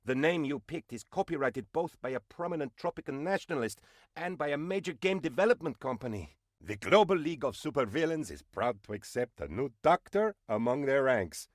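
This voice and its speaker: A man plays an eager, bootlicking presidential aide from a comedy video game. His delivery is theatrical and very animated, swinging up and down as he flatters his leader. The recording is dry and close. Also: medium pitch, brisk, no echo, close to an everyday voice. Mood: earnest, then proud, excited. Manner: obsequious, excitable, melodramatic. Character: eager fawning male presidential aide in a Caribbean republic. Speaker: game advisor character.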